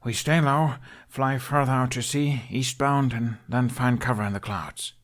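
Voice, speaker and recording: A man's voice: medium pitch, steady tone, unhurried, pitched above the performer's own voice, natural voice. Man voicing an awkward young Viking lad. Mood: planning.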